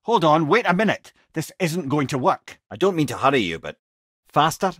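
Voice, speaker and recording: An actor playing a clever, wry old Scottish companion in a video game, chatty and full of tales and advice. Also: male voice, fairly high pitch, very animated, normal speed, fairly bright tone, husky, no echo, clean studio sound, exaggerated voice. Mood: urgent, excited. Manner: wry, chatty, wordy storytelling. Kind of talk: video game character dialogue.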